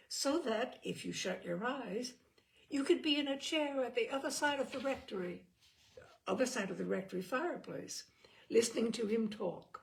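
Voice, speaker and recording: A woman narrates a novel in a voice of middling pitch with noticeable creak. Her reading is scripted and expressive, in the manner of an audiobook storyteller, with a small stumble here and there. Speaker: audiobook reader.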